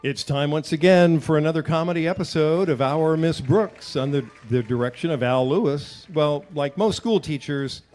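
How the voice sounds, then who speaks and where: A man's voice: a little high, normal speed, few pauses, a little mellow, close to an everyday voice. A man playing a genial announcer introducing an old-time radio comedy in presentational style. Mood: presenting. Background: music.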